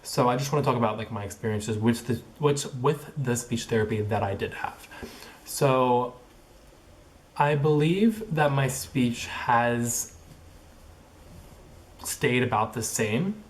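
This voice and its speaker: A young man speaks to camera in a casual vlog, his voice sitting at an ordinary middle pitch. He stutters, repeating first sounds and locking up on words. His phrasing is halting and crowded with likes and ums. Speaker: vlogger. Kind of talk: casual personal vlog monologue.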